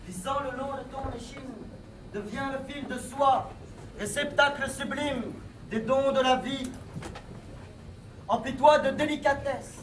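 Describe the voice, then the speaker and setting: A man reciting slam poetry at a live session. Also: extremely high pitch. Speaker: slam poet. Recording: echoey room, distant mic, slight noise.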